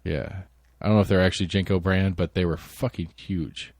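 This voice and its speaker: A man's voice: low-pitched, steady tone, brisk, creaky, a little mellow, dry recording. Laid-back joking man, dry sound. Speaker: podcast co-host. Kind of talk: casual chat.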